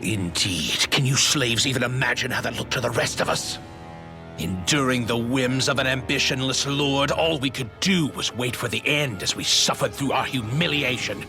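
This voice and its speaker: A man's voice: medium pitch, normal speed, music in the background, lightly acted. An actor playing a treacherous, contemptuous noble advisor in a video game, haughty, sneering and theatrical in his delivery. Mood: bitter scorn. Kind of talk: dramatic video game acting.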